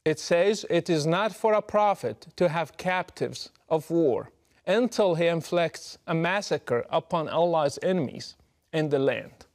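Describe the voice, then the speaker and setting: A middle-aged man from Morocco, giving a prepared, deliberate and emphatic address straight to the listener. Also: a little high, lively intonation. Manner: measured, emphatic, deliberate delivery. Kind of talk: prepared direct address to camera.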